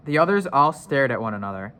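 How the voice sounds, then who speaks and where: A man's voice: medium pitch, sing-song, fast, sharp tone, clean tone. Man reading a storybook aloud. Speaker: story reader. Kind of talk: read-aloud storybook narration.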